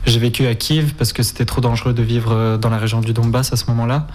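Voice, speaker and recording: A young man. His delivery stays flat and even, with little rise or fall. He pauses often and restarts his sentences as he searches for words. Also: mid-range voice. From Spain, raised in Ukraine. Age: 20s. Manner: halting, frequent hesitations. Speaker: guest. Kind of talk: interview answers.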